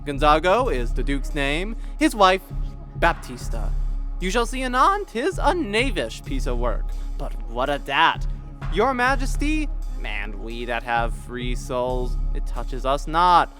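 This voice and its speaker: A woman's voice: low voice, sing-song, a little slow, fairly bright tone, faint music behind, exaggerated voice. Woman as mocking, restless young prince, swooping delivery. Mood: sardonic, teasing. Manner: theatrical, sardonic. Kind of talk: Shakespearean audio drama acting.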